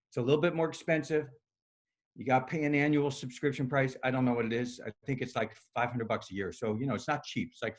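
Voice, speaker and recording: Middle-aged studio owner, loud chatty presenter on a video call, voice a touch high. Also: male voice. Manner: loud, upbeat, chatty, fillers and "right?". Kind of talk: casual screen-share presentation.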